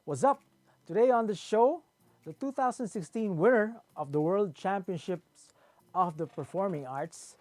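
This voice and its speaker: A man's voice: high voice, animated, a little slow, darkish tone, dry recording. A man hosting a live talk show, who often stumbles and repeats words while forming his questions. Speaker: host.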